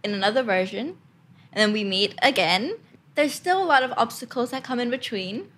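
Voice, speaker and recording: A woman's voice: on the high side, lively intonation, a little fast, a bit bright, a little hiss or hum. A young woman, an actress being interviewed, chatting casually and using lots of "like" fillers. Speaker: actress guest.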